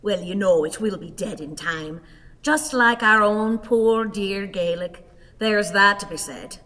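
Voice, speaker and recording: A woman's voice: mid-range voice, a little slow, fairly bright tone, big-room sound, close to an everyday voice. An actress playing a frail, melodramatic old wife in a radio play, plaintive and genteel in her wordy delivery. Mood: genteel lament. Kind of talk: theatrical radio play acting.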